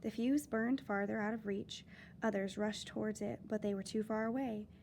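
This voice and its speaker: A woman teacher reading a children's picture book aloud, with lively, expressive storytelling and dramatic emphasis. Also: mid-range voice, moderate pace, a little hiss or hum.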